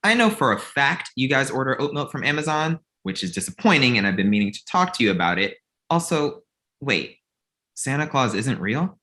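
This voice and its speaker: A man acts out a naive son in his thirties, earnest about Christmas and a little whiny. The voice is bright and forceful, and it swings up and down freely through the comedy script. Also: mid-range voice, sing-song, normal speed. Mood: hurt, naive. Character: naive thirty-six-year-old son, childishly devoted to Christmas and Santa. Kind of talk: comedy script table read.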